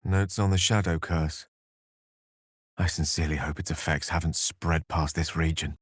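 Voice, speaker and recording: A man's voice: extremely low pitch, steady tone, moderate pace, husky, no echo, studio quality. A man voicing an eloquent, wry, learned wizard for a video game, speaking in an articulate, wordy, theatrical manner. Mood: thoughtful. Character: eloquent, learned adult male human wizard, wry and courteous. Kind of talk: video game character voice lines.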